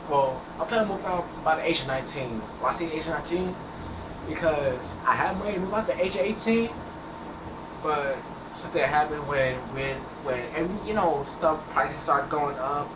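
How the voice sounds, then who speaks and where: Rambling teen guy, echoey and distant. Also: mid-range voice. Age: teens. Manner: rambling, repeats words, restarts often. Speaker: gameplay channel host. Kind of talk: casual talk over gameplay. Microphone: headset mic. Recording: echoey, distant, noisy room sound.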